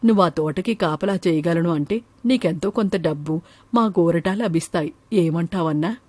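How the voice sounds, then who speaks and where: A woman voicing a kind, practical village landowner woman in an animated moral story. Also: fairly low pitch, moderate pace, no room sound, voice pushed down, natural voice. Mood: earnest. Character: kind, practical village woman who owns a banana grove.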